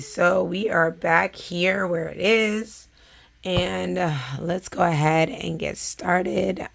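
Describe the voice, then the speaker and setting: A woman, talking casually to her viewers in a hoarse, scratchy voice, with plenty of fillers. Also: lowish voice. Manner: chatty, with frequent fillers. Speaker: vlogger. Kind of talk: casual chat.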